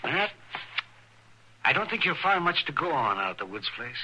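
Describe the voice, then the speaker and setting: A wry old frontier doctor. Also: male voice, on the high side, very animated, pushed and bright, slight background noise, heavily acted, poor audio. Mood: grave. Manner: dry, wry, halting and folksy. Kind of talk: 1950s radio drama acting.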